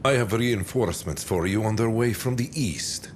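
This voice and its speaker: An actor playing a smooth, calculating military commander in a video game, menacing and self-assured, speaking deliberately with weighty pauses. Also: male voice, fairly low pitch, noisy background, close to an everyday voice. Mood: cool, conspiratorial. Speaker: military commander briefing a general. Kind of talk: scripted video game cutscene briefing.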